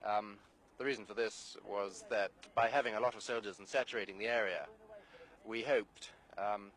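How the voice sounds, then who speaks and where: A man speaking as an army officer, giving a hesitant, formal and understated briefing with many halting pauses. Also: on the low side, a bit bright, rough recording. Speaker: British Army battalion officer. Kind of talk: unscripted briefing to an interviewer. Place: outdoors, overlooking the area.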